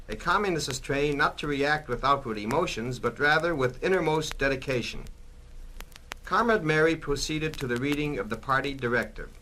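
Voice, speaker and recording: A man's voice: mid-range voice, sharp tone, a little hiss or hum, low-quality recording. A man reading a grave, scripted 1960s dramatic narration in an expository manner.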